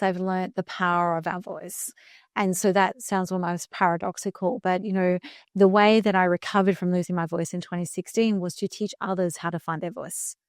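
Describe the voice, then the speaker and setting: A woman's voice: medium pitch, dry recording. Earnest woman in her fifties, dry close sound. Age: 50s. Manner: earnest, emphatic, slows down for key phrases. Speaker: guest speaker coach. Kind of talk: reflective podcast conversation.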